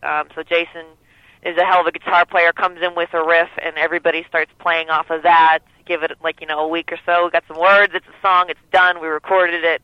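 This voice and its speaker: A woman who sings lead in a band, with a lower voice, talking in a relaxed, rambling way with many fillers during a phone interview. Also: dry recording. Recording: dull lo-fi phone line.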